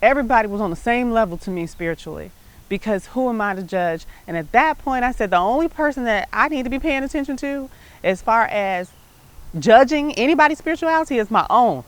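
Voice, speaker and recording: A woman's voice: a little high, animated, fairly quick. A middle-aged American woman hosting her show, telling personal stories about life and faith in a conversational, emphatic way. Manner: conversational, emphatic, often checking in with "right?". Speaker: show host.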